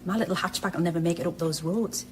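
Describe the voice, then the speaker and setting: An actress playing an eager, slightly doubtful colleague and friend in a radio drama, chatty and colloquial. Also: female voice, fairly low pitch, fast talker, darkish tone, slight background noise, lightly acted. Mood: eager, questioning. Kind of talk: naturalistic radio drama acting.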